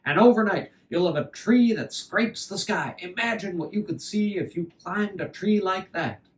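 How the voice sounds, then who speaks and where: A man voicing a wistful, kindly old fiddler who speaks in measured riddles in a live-style folktale telling. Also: sits high, expressive, far from the mic, rough recording. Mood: persuasive, mysterious. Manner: measured, cryptic, addresses the boy as son.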